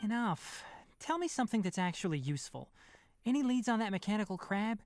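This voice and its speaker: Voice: medium pitch, sing-song, a little fast, a little mellow, a little hiss or hum. An actor playing a blunt, impatient companion character in a video game, asking pointed questions. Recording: music behind the voice.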